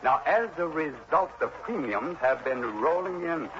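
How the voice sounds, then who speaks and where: A man's voice: fairly high pitch, lively intonation, unhurried. Muffled old radio drama, fretful insurance exec guy, wordy, stammers a bit, faint hiss. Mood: fretful, explaining. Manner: hesitant, wordy, emphatic repetition. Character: earnest, fretful insurance company executive, male. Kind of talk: 1960s radio drama acting.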